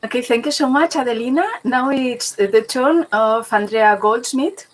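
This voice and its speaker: Brief: woman, slightly high voice, runs a video call roll call, halting with lots of "uh". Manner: many filler "uh"s, restarts. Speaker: online meeting moderator. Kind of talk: hesitant meeting moderation.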